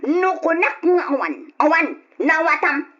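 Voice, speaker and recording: An actress with an Ilocano accent playing a bossy, stingy aunt with a sharp, commanding manner in a radio drama. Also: female voice, very high-pitched, expressive, unhurried, heavily acted. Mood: bossy, scolding. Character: bossy, stingy aunt scolding her young wards. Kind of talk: Ilocano old-time radio drama acting. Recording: dull lo-fi old radio drama recording.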